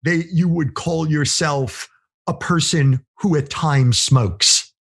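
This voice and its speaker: Gravelly, husky-voiced male podcaster. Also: fairly high pitch, slow pace. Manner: musing, asks questions, teases. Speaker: podcast co-host. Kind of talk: casual podcast chat.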